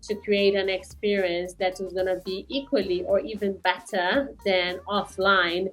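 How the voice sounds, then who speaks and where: A woman hosting a business podcast, her voice sitting at an ordinary middle pitch. She talks hesitantly, leaning on uh and you know as she frames her questions. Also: flat delivery, measured pace, faint music behind. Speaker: podcast host. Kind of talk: podcast interview.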